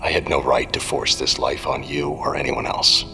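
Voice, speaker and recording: An actor playing a stern, brooding old retired hero in an audio drama, gruff, grave and terse. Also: male voice, very deep voice, fairly even intonation, normal speed, sharp tone, soft background music, full character voice. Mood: solemn. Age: older. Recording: long cavernous reverb.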